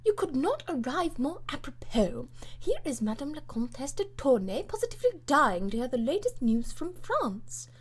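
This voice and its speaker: A woman voicing a bluff, good-hearted English noblewoman, loud, blunt and exclamatory, in an audiobook. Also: high voice, sing-song, moderate pace, few pauses, pitched above the performer's own voice. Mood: effusive.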